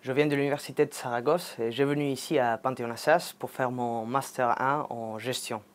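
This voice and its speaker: A man's voice: medium pitch, fairly even intonation, brisk. A young man with a Spanish accent, a master's student speaking thoughtfully with some hesitation. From Spain. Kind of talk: testimonial interview.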